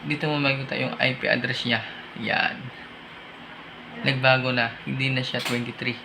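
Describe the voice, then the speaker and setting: Filipino guy walking thru setup steps, creaky voice, talks it out casually, noisy amateur recording. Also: mid-range voice, little pitch movement, moderate pace. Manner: casual, thinking aloud step by step. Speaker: tech tutorial presenter. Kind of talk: informal hands-on tutorial.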